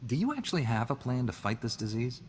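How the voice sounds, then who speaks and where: An actor playing a stoic Jedi hero who answers with dry, deadpan remarks in a video game. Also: male voice, mid-range voice, fast, long reverb tail, slight background noise, lightly acted. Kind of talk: video game dialogue acting.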